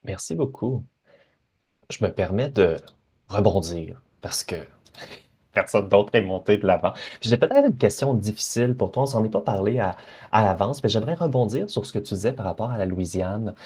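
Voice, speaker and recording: A man's voice: on the low side, lively intonation, normal speed, warm tone, slightly rough. A man hosting an interview, asking long, hesitant questions in a casual way. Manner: hesitant, repeats words while asking questions. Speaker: interview host.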